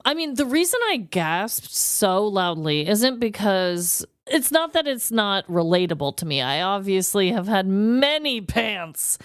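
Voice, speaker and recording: A woman co-hosting a podcast in casual comedic chat, expressive and emphatic, piling up lists and swearing casually. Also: mid-range voice, measured pace, few pauses, clean tone, dry recording, studio quality. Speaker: podcast co-host.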